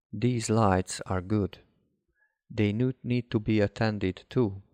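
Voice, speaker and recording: A man reading a guided hypnosis relaxation script as a hypnotherapist, in a measured, instructive way with deliberate pauses. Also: lowish voice, darkish tone, dry recording. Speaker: hypnotherapist guiding a session.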